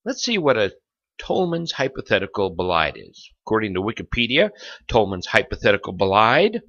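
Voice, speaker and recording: A man narrating a lecture in a theatrical, suspenseful documentary style, pausing for effect between phrases. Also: lowish voice, bright, forceful tone, lo-fi sound. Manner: theatrical, with rhetorical questions and suspenseful pauses.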